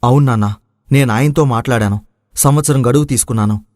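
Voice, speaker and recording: A man's voice: medium pitch, fast talker, darkish tone, no echo, studio quality, natural voice. A man playing an earnest, respectful, devoted young son who farms, in a dramatized moral story. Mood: heartfelt. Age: young adult.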